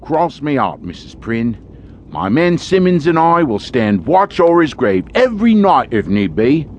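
An actor playing a sly, greedy, falsely pious gravedigger with a theatrical in an audio horror drama. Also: male voice, a little high, sing-song, measured pace, slight background noise. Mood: oily, falsely humble.

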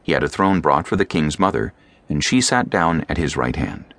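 Very deep, gravelly male scripture narrator. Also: expressive, fairly bright tone, no room sound. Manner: measured, solemn storytelling.

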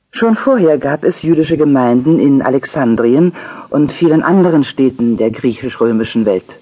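A man's voice: medium pitch, darkish tone. A man reading scripted documentary narration in a factual manner. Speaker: audiobook narrator.

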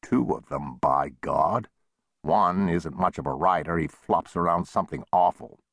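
Man acting a very deep, wry old saloon owner. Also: unhurried, creaky, fairly bright tone, no echo, clean studio sound, full character voice. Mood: dry, exasperated.

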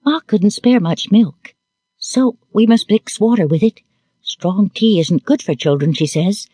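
Man voicing an eager, bossy little girl, pitched higher. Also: unhurried, mellow, husky, no room sound, studio quality.

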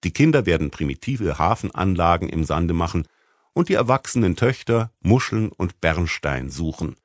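Male audiobook narrator, low voice, wry and articulate, dry close sound. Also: sing-song, normal speed, dry recording. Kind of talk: scripted literary narration.

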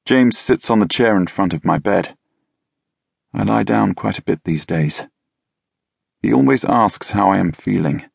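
Low husky guy narrating an audiobook, dry and deadpan, super close dry studio sound. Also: unhurried, pauses often, dry recording. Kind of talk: first-person scripted narration. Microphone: close studio mic.

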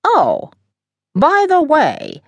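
A woman's voice: high voice, huge pitch swings, extremely slow, creaky, no echo, pitched above the performer's own voice, exaggerated voice. Woman doing a prim fussy ship computer. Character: polite fussy spaceship computer.

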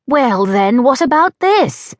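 A woman voicing a dignified, gentle older British grandmother who speaks with a British accent. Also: sits high, big pitch swings, slow pace, no room sound, studio quality, pitched above the performer's own voice, full character voice.

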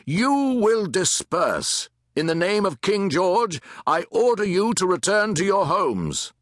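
A man's voice: sits high, big pitch swings, slow, fairly bright tone, no echo, clean studio sound, voice pushed up, heavily acted. Man playing commanding army captain, raised voice. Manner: authoritative, formal orders. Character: commanding, resolute male British army captain.

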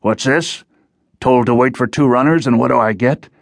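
A man voicing a huge, gruff, menacing seafaring outcast in an audiobook, giving unhurried orders. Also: mid-range voice, no echo, clean studio sound, voice pushed up. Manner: gruff, nautical, unhurried commands. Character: giant bloated gruff male menacing underwater outcast. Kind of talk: audiobook character voice.

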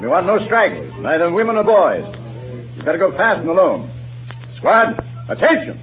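Casting: stern scout officer barking orders, high voice, muffled old radio with music. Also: male voice, wildly swooping pitch, normal speed. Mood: stern, commanding. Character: brisk, commanding Revolutionary War scout officer. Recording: muffled vintage radio broadcast, music behind.

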